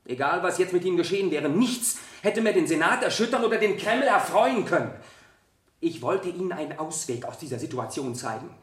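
An actor playing a scornful, fanatical witness who lectures the court with heated contempt in a radio play. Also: male voice, high voice, animated, brisk. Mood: bitter, contemptuous. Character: scornful, fanatical political witness, contemptuous of everyone around him. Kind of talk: 1970 German radio play acting. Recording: roomy, echoing studio courtroom.

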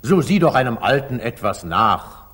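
A husky-voiced, commanding older sultan in an old radio play. His stately verse swings widely from soft musing to ringing command, indulgent and authoritative at once. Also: mid-range voice, sing-song, moderate pace. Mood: gently chiding. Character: magnanimous older sultan, commanding yet indulgent, ruler of Jerusalem. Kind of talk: 1940s German radio drama acting, classical stage verse. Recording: slightly muffled 1940s radio studio recording.

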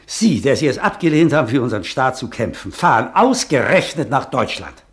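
Verbitterten, unversöhnlichen Mann, sehr lebhafte Stimme, feierlich. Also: male voice, medium pitch, big pitch swings, fairly quick, fairly bright tone. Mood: outraged. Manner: solemn, bitter, rising to outrage. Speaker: former friend condemning the doctor. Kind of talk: 1950s radio play acting.

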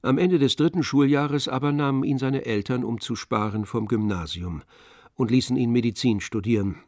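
A man's voice: mid-range voice, moderate pace, darkish tone, no echo, clean studio sound. A man reading literary narration as the storyteller of a radio play.

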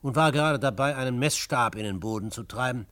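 An actor playing an adventurer who narrates his own frontier tale in a measured, literary, dramatic radio style. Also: medium pitch, fairly bright tone, no echo, close to an everyday voice. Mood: matter-of-fact. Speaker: first-person narrator.

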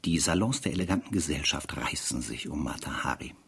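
A man's voice: very deep voice, expressive, a little fast, gravelly, a bit bright, husky, heavily acted. An actor playing a sardonic, weary male investigator who narrates a spy case theatrically in a radio play. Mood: ironic storytelling. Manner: sardonic, theatrical, rhetorical asides.

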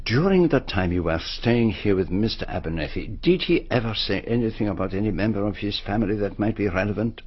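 An actor playing a courteous, shrewd detective, formal and deliberate, in a radio drama. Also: male voice, lowish voice, animated, slightly rough, muffled recording. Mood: courteous, probing. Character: courteous, shrewd foreign male detective, former policeman.

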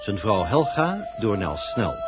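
A male radio announcer reads scripted credits. His low voice is lively in pitch, with loud music behind and a dull old recording. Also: unhurried. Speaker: announcer reading the cast credits.

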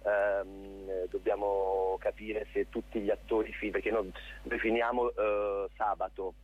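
A man giving a casual, unscripted interview as a theatre director, halting and often repeating his words. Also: lowish voice, little pitch movement, slightly rough, narrow, tinny audio. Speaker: theatre director, radio guest.

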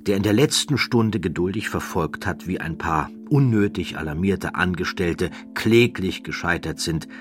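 A man reading a documentary audiobook in a measured, dramatic storytelling manner, building rhythmic lists. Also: deep voice, expressive. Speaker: audiobook narrator. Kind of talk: scripted documentary narration.

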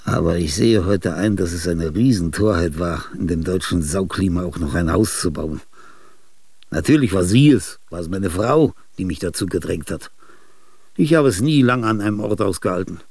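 An actor in a radio drama playing an ailing, aging male genius, restless and grandiose. He speaks confidingly in a husky, gravelly voice, with sudden outbursts and whispers. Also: deep voice, expressive, moderate pace, darkish tone, dry recording, heavily acted. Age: older. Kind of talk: theatrical radio drama monologue.

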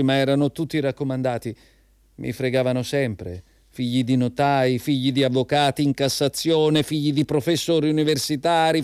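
An actor playing a proud, aggrieved unemployed graduate, earnest and defensive, in a radio drama. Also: male voice, on the high side, smooth, dry recording, clean studio sound, lightly acted. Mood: bitter, aggrieved. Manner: earnest, defensive, piles up grievances. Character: proud, aggrieved unemployed male graduate pleading his worth.

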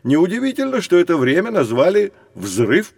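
A man's voice: high voice, huge pitch swings, gravelly, over loud music, exaggerated voice. A man playing a kindly, learned professor who explains things playfully in an educational audio drama. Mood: storytelling wonder. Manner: explanatory, gently playful, measured. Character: kindly, learned male professor guiding children through prehistory.

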